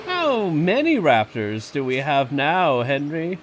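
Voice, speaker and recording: Slow, swooping elderly park owner acted by a man. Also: a little high, big pitch swings, a little hiss or hum. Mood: measured. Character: elderly park owner, delighted, proud, stubbornly optimistic. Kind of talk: fan audio drama acting.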